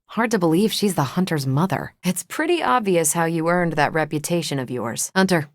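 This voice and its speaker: A woman's voice: on the low side, expressive, normal speed, dry recording, close to an everyday voice. A woman performs a confident American superheroine for a video game. Her delivery is self-assured and wry, like a seasoned military-minded pilot talking to teammates. The sound is close and dry, with no room on it. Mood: conversational.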